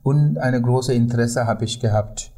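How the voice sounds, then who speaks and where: An older man from Sri Lanka, a non-native speaker with a Sri Lankan accent, telling stories haltingly as a tour guide in an interview. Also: medium pitch, dark tone. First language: not German. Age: 50s or older. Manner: halting, non-native German with frequent hesitations. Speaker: tour guide, podcast guest. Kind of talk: conversational storytelling in an interview.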